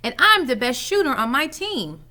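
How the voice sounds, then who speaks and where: Woman reading as a bright, confident sporty girl. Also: high voice, very animated, normal speed, heavily acted. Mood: confident, upbeat.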